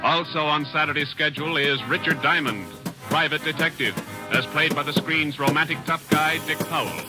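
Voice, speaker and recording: A man reading formal announcements in a measured, declamatory old-time radio announcer style. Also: a little high, low-quality recording. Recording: vintage radio broadcast with music.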